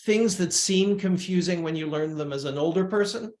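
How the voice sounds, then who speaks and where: An older man from Uruguay, a musician guest, talking in a measured, reflective way with frequent restarts. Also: high-pitched, darkish tone. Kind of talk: casual interview talk. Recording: echoey room.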